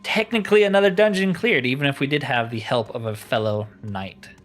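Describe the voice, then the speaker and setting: A man's voice: medium pitch, a little fast, fairly bright tone, faint music behind. A man giving casual, informal let's play commentary on a roleplaying video game, thinking aloud as he plays.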